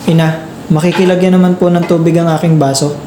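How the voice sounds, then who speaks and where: Woman playing low-voiced serious older brother. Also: fairly even intonation, quick pace, noisy background, natural voice. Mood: polite. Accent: Filipino. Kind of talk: scripted student radio drama acting. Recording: echoey room, loud music.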